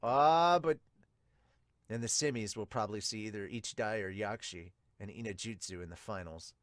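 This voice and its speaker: A man hosting a podcast, reading aloud and reacting casually with excitable exclamations. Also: fairly low pitch, moderate pace, no room sound, clean studio sound. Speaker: podcast host and reviewer. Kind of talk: manga chapter dub reading and casual reaction commentary.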